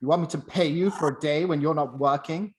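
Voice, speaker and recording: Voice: mid-range voice, brisk, darkish tone, close to an everyday voice. A performer playing a miserly, bitter old businessman in a radio play, curt and scornful. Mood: stern, scornful.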